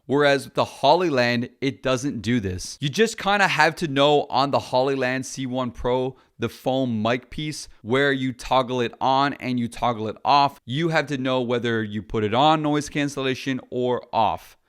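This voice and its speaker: A man's voice: medium pitch, moderate pace, hardly any pauses, smooth. A man, a filmmaker, chatting casually and bluntly through a product review.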